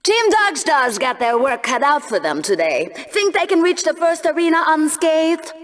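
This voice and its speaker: An actress playing a sardonic, bloodthirsty co-commentator on a sci-fi bloodsport broadcast in a video game, theatrical and mocking. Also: female voice, high-pitched, very animated, normal speed, fairly bright tone. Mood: gleefully ominous. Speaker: analyst co-commentator. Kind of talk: sports broadcast banter, video game acting.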